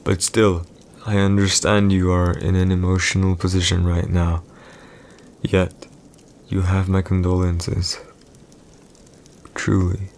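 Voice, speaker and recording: A deep-voiced, cold emperor acted out by a man holds court in an audio roleplay. He is proud and calculating, weighing each phrase with deliberate, imperious pauses. A faint bed of ambient noise sits behind his voice. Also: monotone, darkish tone. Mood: somber, hesitant. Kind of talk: scripted boyfriend audio roleplay, slow dramatic monologue.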